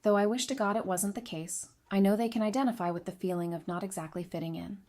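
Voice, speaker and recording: A woman's voice: medium pitch, fast, darkish tone, close to an everyday voice. An actress playing a candid, dry-witted woman adviser in an audio drama, talking in a frank, conversational way. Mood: thoughtful, frank. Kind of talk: fan audio drama acting.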